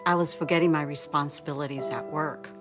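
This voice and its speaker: A woman playing a mother facing memory loss, in a soft testimonial for an awareness ad. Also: deep voice, steady tone, brisk, over loud music, natural voice. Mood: subdued.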